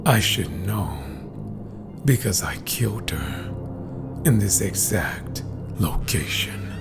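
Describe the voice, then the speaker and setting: A man reading scripted horror stories in a dramatic, deliberate way, with phrases that often trail into a hush. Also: on the low side, lively intonation, vocal fry. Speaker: horror story narrator. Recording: background music behind voice. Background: music.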